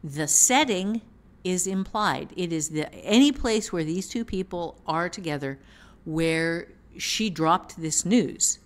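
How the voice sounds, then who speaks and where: Low-pitched woman teaching fiction writing. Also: lively intonation, takes it slowly. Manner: explains step by step with examples. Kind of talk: casual explanatory podcast talk.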